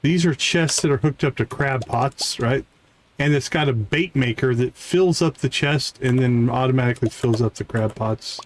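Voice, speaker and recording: Male game streamer, mid-pitched, informal explainer thinking aloud, faint noise behind. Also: moderate pace. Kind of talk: casual live gameplay commentary, explaining as they play.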